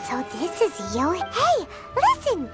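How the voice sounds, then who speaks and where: A woman voicing a tiny, excitable, blunt childlike game companion with a squeaky voice. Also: slow pace, full character voice. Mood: excited, alarmed. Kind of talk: video game character acting. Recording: loud music behind the voice.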